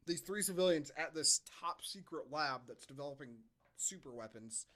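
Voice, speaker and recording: A man's voice: on the high side, expressive, moderate pace, a bit bright. A man co-hosting a podcast, giving a casual, comedic movie recap in a sardonic manner. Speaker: podcast co-host.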